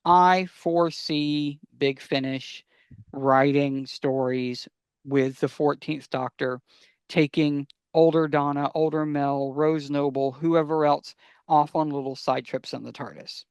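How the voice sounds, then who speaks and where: A man hosting a casual fan podcast, talking enthusiastically and sometimes haltingly as he gives recommendations. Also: on the high side, steady tone, clean tone, dry recording. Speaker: podcast host.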